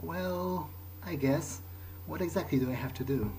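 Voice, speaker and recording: A man's voice: a little high, moderate pace, dark tone, slight background noise, poor audio. A man doing in-character commentary over a game playthrough, speaking in a hesitant, musing way. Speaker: gaming video maker. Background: video game ambience.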